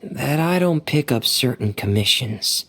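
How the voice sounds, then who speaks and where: Low, slow, shy young diver. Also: female voice, expressive, gravelly, breathy edge, exaggerated voice. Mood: troubled, earnest. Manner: hesitant, soft-spoken, earnest. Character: shy, gentle, earnest young woman diver. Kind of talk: anime game voice acting.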